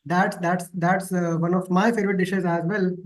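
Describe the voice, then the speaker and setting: Guy hosting a formal interview, pretty high voice, very deferential. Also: level tone, normal speed. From north India. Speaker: interview host.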